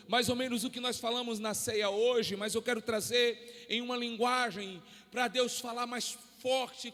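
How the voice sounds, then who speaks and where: A Brazilian pastor in his forties, preaching a sermon to his church with impassioned, drawn-out emphatic phrases. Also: very high-pitched, fairly bright tone. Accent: Brazilian Portuguese. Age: 40s. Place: church hall. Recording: long hall reverb.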